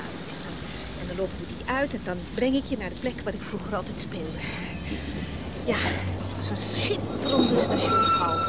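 High-voiced, stammering, distraught widow. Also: female voice, heavy background noise. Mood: wistful, nostalgic. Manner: halting, stammering, repeating words. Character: distraught, eccentric newly widowed woman, nervous and wistful. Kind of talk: naturalistic radio drama acting.

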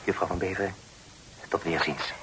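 An actor playing a tactful, polite young gentleman in a radio play, speaking formally. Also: deep voice, steady tone, normal speed. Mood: courteous, tactful. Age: young adult. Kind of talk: Dutch radio play acting. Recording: noisy old radio drama recording.

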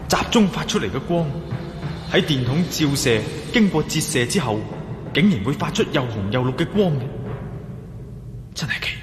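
A man's voice: mid-range voice, natural voice. A man with a Hong Kong Cantonese accent playing a resolute, grimly confident adventurer hero in a radio drama. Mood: astonished. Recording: echoey hall reverb, music behind.

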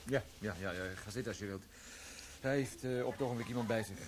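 A man's voice: fairly low pitch, quick pace, a little hiss or hum, close to an everyday voice. A man playing a flustered army captain. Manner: hesitant, flustered. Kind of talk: radio play acting.

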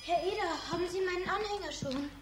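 A very high, childlike little girl in a children's radio play. She sounds polite, anxious and plaintive. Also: fairly quick, over music.

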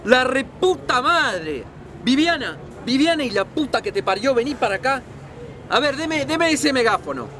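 An exasperated Argentine family father, played by a man, bossy and foul-mouthed in broad comic style. His voice runs very high and jumps around a lot, over clear urban background noise. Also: big pitch swings, normal speed, some chatter behind, full character voice. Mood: frantic. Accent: Rioplatense Argentine Spanish. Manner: shouting, bickering, barking orders.

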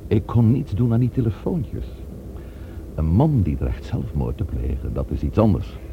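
A brisk police chief, speaks in a deep voice with gravelly fry. He is businesslike and dry, with animated intonation, in an old radio play with clear background noise. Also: phone-quality sound, exaggerated voice. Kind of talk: radio drama acting.

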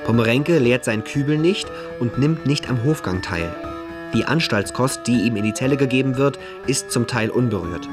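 An actor playing a formal prison official who reads out case files in a bureaucratic manner for a radio play. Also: male voice, medium pitch, lively intonation, normal speed, music in the background, lightly acted. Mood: formal, detached. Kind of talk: radio play file reading.

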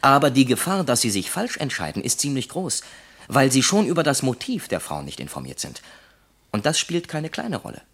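An actor playing a detached, lecturing factory psychologist in a radio play, analytical, pedantic and wryly sceptical. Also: male voice, medium pitch, wildly swooping pitch, moderate pace, a bit bright, slightly rough, heavily acted. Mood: wry, advising. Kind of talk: German radio play acting.

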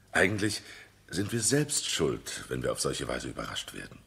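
Very deep, gravelly worldly count. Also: male voice, sing-song, takes it slowly, sharp tone, heavily acted. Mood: amused, worldly. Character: worldly, cynical, jovial aristocratic count at a party. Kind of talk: German radio drama acting.

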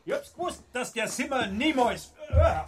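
An actor playing a loyal, excitable Bavarian police sergeant in a radio drama, speaking dialect in a halting, stammering way. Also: male voice, extremely high pitch, soft background music, exaggerated voice. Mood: hesitant. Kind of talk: German radio crime drama acting in dialect.

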